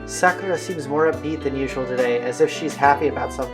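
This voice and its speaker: A man's voice: mid-range voice, fast talker. A man reading visual novel narration aloud as a let's play host, occasionally stumbling over a phrase. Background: game music.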